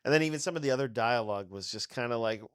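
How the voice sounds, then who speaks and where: A man's voice: mid-range voice, very fast, clear, resonant tone, no room sound. A man with a mid-range voice talks casually as one of a podcast panel. The recording is dry, with hardly any room sound. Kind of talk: casual chat.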